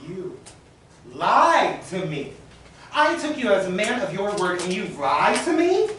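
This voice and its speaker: A woman's voice: mid-range voice, sing-song, unhurried, a bit bright. A woman acting a frantic, complaining woman who pleads and insists at a bank, in a stage play. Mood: accusing, shrill. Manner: whining, theatrical, insistent. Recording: roomy hall from far off, faint noise.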